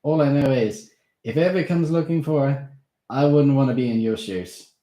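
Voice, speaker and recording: A man voicing an excitable, hot-tempered schoolboy who yells in a high, shrill voice. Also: moderate pace, close to an everyday voice. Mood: amused. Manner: yelling insults, high-pitched and shrill. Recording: roomy echo.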